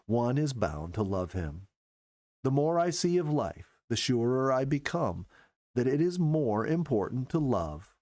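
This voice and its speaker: A male storyteller reads narrative prose in an earnest, careful way. His mid-pitched voice moves up and down in lively swoops, and the sound is close and dry. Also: dry recording. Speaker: storyteller reading a book aloud. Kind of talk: expressive scripted first-person narration.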